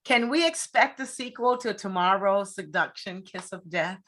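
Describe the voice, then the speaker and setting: A woman's voice: medium pitch, expressive, moderate pace, a bit bright. A woman hosting a casual interview, asking questions in a conversational way. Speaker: interviewer, host.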